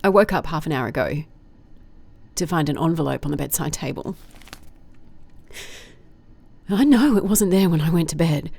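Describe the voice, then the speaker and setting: A woman's voice: low voice, animated, brisk, creaky, a little mellow, full character voice. A woman playing a weary, sardonic female private investigator, speaking in a dry, confessional audio diary style for an audio drama. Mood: uneasy.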